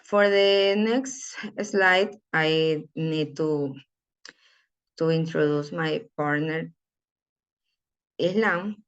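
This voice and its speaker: A woman giving an academic conference presentation in a halting way, often restarting words. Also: low-pitched, clear, resonant tone. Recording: dull lo-fi recording.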